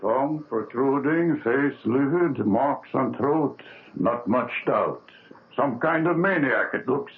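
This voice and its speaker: An actor playing a matter-of-fact police inspector with clipped, businesslike speech in a 1970s radio drama. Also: male voice, mid-range voice, expressive, slow. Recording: narrow phone-like old radio broadcast.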